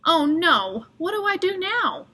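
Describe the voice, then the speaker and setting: A woman's voice: very high-pitched, sing-song, measured pace, sharp tone, pitched above the performer's own voice, full character voice. A woman voicing an eager, curious young boy in a storybook read-aloud. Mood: puzzled, eager. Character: young birthday boy narrating his adventure, eager and curious.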